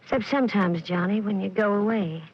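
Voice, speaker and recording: A jealous, pleading lover. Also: female voice, medium pitch, brisk, husky. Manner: coaxing, insistent, sultry. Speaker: singer and actress. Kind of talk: 1940s radio drama acting. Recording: dull old radio broadcast. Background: music.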